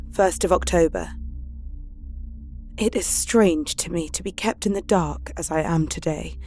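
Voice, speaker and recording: A woman's voice: mid-range voice, a little mellow. An actress playing an anxious, devoted young Victorian wife, reading her journal in a wistful, emotional way for an audio drama. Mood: wistful, hurt.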